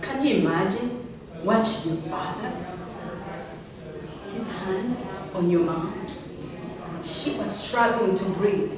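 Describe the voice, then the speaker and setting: A woman's voice: mid-range voice, takes it slowly, long pauses, a crowd in the background. A young woman from Uganda, telling her personal story as a speaker with earnest, emphatic delivery. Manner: earnest, emphatic, rising passionately on key words. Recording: echoey hall from far off.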